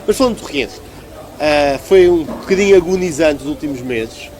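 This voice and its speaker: A man's voice: fairly high pitch, lively intonation, normal speed, a little mellow, slight background noise, poor audio. A man with a European Portuguese accent giving a conversational political interview as a local politician, arguing emphatically and repeating phrases for stress.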